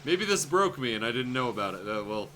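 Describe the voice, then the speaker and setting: A man's voice: mid-range voice, big pitch swings, fairly quick, a bit bright, a little hiss or hum. A man streaming games as show host, chatting casually with wry, sweary remarks. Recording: music playing faintly behind the voice.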